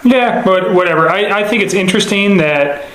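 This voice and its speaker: An American man working as an investigator, conducting an informal interview with casual, halting, rambling questions. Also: high voice, fairly bright tone. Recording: echoey room, faint noise.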